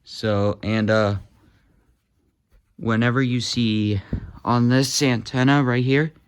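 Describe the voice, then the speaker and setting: A man talking casually through a hands-on demo, halting often with uhs and ums while he handles the gear. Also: medium pitch, steady tone, smooth. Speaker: hobby video host demonstrating walkie-talkies.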